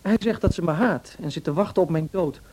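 An actor playing a weak, selfish young husband in a radio play, whining and self-pitying. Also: male voice, fairly high pitch, fairly quick, darkish tone, a little hiss or hum, lightly acted. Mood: petulant.

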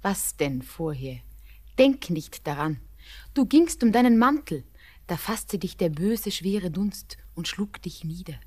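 A breathy, devoted young bride. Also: female voice, on the low side, lively intonation, slow pace, darkish tone. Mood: soothing, tender. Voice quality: breathy, often near a whisper. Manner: tender, fervent, poetic delivery. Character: anxious, devoted young bride keeping watch over her beloved. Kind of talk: German radio drama acting.

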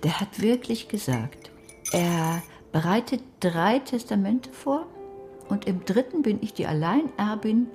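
A woman's voice: mid-range voice, animated, measured pace, a little mellow, faint music behind, lightly acted. An actress in her sixties playing an educated, greedy older woman in a radio drama, dry and literary. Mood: scheming.

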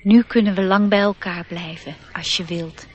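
A woman plays a knowing nature elf for radio drama. The voice sits at a middle pitch, teaching as it goes and gently superior. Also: steady tone, moderate pace, muffled recording, natural voice. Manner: instructive, slightly chiding. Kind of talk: radio play acting.

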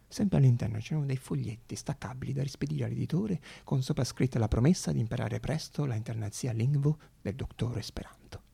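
A man narrating a theatrical radio monologue as an actor, with dramatic pauses and repeated phrases. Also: medium pitch, creaky, warm tone, breathy edge.

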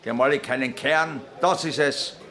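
An actor playing an opinionated, grumbling, suspicious village tavern regular in a radio drama. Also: male voice, medium pitch, a little fast, pushed and bright, slight background noise, close to an everyday voice.

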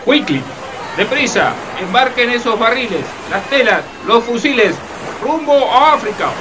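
A man plays an imperious merchant in a radio play. He calls out urgently in a very high male voice, set back from the mic amid clear noise. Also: very animated, measured pace, exaggerated voice. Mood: commanding. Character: commanding English merchant barking dockside cargo orders. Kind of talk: community radio play acting. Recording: distant mic amid noisy ambience.

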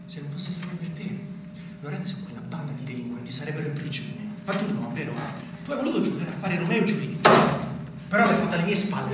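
An actor playing a bitter, self-righteous provincial politician and older brother on stage, scornful and accusing with pointed rhetorical questions. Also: male voice, a little high, close to an everyday voice. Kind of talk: theatrical stage acting. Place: stage of a theatre. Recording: echoey theatre, distant mic, noisy.

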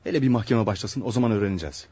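A man's voice: on the low side, expressive, very fast, over music, lightly acted. An actor playing a brusque, cynical male lawyer in a radio play, scolding and insistent with his friend. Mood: dismissive. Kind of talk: radio theatre acting.

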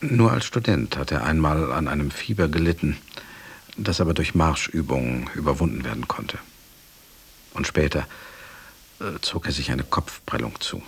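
Devoted servant narrating a radio play, super low raspy voice, formal and measured, deferential. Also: male voice, full character voice. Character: devoted, sober male attendant recounting his master's decline. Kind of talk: radio drama narration, literary.

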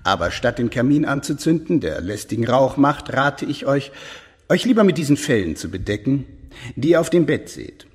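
An actor playing a courteous, sly alchemist lord in a German radio drama, explaining his marvels formally with a husky voice. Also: medium pitch, big pitch swings, moderate pace. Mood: obliging. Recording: long reverberant stone-hall echo.

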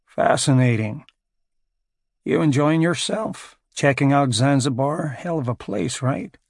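A man's voice: medium pitch, animated, measured pace, no echo, studio quality. A man voicing a burly, crude American ex-marine security contractor with an American accent. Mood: brash. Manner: crude, swaggering, profane.

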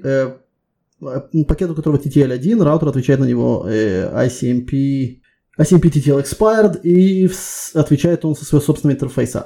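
A man's voice: fairly high pitch, creaky, mellow. A man conducting a spontaneous technical job interview, asking probing questions one step at a time.

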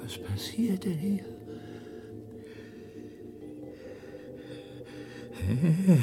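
A slow, wondering newborn whale. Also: female voice, lowish voice, sing-song, background noise, full character voice. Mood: bewildered wondering. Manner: halting, searching for words, self-questioning. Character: innocent, bewildered newborn whale, wondering and curious. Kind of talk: radio drama monologue.

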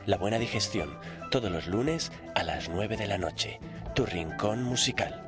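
A man's voice: fairly low pitch, animated, unhurried. A man with a Spain accent hosting a humorous radio show. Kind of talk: humorous radio banter and promo. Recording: music playing behind. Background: music.

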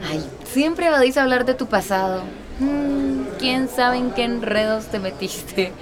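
A woman's voice: high-pitched, a little slow, crowd noise. An actress playing a friendly, curious, confiding woman in a radio drama, conversational and coaxing.

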